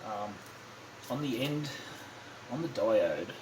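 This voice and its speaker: A man giving a casual, unscripted hands-on demonstration, halting and thinking aloud as he goes, often correcting himself. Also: lowish voice, animated. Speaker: hobbyist demonstrating a kit build. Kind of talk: casual unscripted how-to narration. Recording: clearly noisy amateur home recording.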